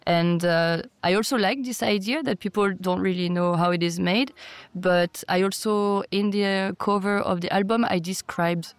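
A woman's voice: mid-range voice, steady tone, normal speed, no room sound. A young adult woman from France, speaking as a music producer in a thoughtful, hesitant way. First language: French. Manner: thoughtful, hesitant, with fillers and restarts. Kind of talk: interview talk.

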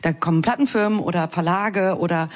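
A woman's voice: fairly low pitch, brisk. A woman hosting a radio interview, asking fluent, probing questions. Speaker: radio host.

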